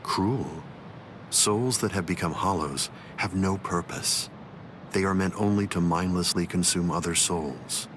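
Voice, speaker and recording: An actor playing a calm, menacing villain swordsman in an anime video game, speaking in a measured, formal, condescending way. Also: sits low, noisy background. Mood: cold, lecturing. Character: calm, menacing male villain swordsman, coldly superior schemer. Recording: loud music behind the voice. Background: music.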